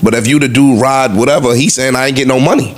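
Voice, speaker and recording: A man with an average-pitched voice argues casually on a podcast. He explains his view through examples, speaking in an everyday conversational way. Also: steady tone, fast talker, slight background noise.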